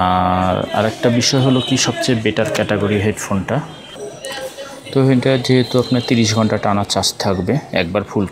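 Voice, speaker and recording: A man with a Bangladeshi accent giving an unscripted product demonstration as a shop seller, repeating himself and often checking in with the listener. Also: lowish voice.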